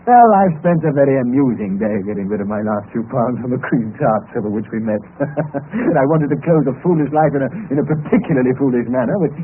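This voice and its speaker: Reckless young British gentleman male actor, courteous bitter gaiety, animated pitch, vintage radio drama with noisy sound. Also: fast talker, narrow, tinny audio, natural voice. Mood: rueful, flippant. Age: young adult. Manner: polite, formal, flippant about despair. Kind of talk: old-time radio drama acting.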